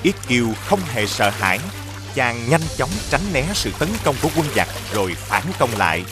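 A man reads scripted folk-tale narration in a mid-range voice. His storytelling is measured and clearly scripted. Music plays noticeably behind him. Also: medium pitch, lively intonation. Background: background music.

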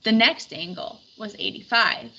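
A woman reading a storybook aloud in expressive scripted narration. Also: medium pitch, measured pace, vocal fry. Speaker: storybook reader. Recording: dull recording with faint background noise.

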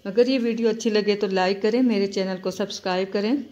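A woman hosting a home cooking channel, calmly narrating each recipe step and repeating key points. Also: mid-range voice, brisk, lo-fi sound. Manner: steady, instructive, repeats steps.

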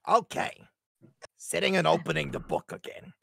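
A woman with a lowish voice talks casually on a group video call. She is chatty and joking, and her pitch moves up and down with great liveliness. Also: big pitch swings, moderate pace, gravelly, dry recording, studio quality. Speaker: table read participant. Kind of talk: casual chat during an online script read.

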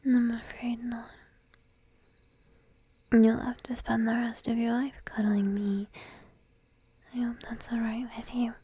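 A woman voicing a shy, needy, teasing sheep-girl girlfriend in an ASMR roleplay, speaking in a soft breathy whisper close to the microphone. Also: on the high side, flat delivery, heavily acted. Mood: tender, affectionate. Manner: slow, drowsy, playfully coaxing. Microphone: close microphone, dry.

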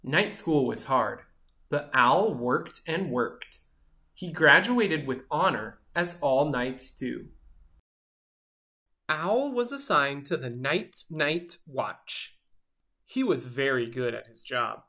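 A storyteller reading a children's picture book aloud in an expressive style. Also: medium pitch, takes it slowly.

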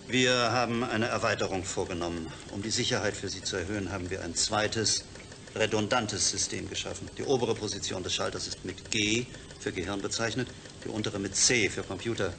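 An actor playing a matter-of-fact scientist who explains things in a German radio play. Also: male voice, on the low side, normal speed, gravelly, noisy background. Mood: explaining, measured.

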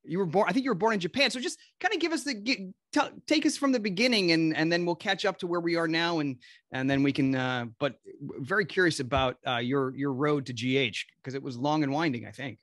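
A man's voice: on the high side, fast talker, a bit bright, no room sound, clean studio sound. A man in his forties co-hosting a podcast, asking chatty, rambling questions in a casual style. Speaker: podcast co-host, interviewer. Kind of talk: casual interview chat. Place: small room indoors, video call. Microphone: desk microphone close up, video call.

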